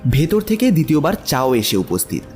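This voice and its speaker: A man's voice: on the high side, very animated, brisk, darkish tone, soft background music. A man narrating an audiobook story from a script.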